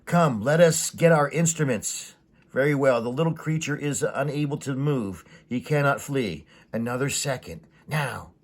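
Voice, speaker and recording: A man's voice: medium pitch, expressive, moderate pace. A man voicing an aloof, coolly curious adult giant from a comic story, speaking in formal, stilted pronouncements. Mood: businesslike.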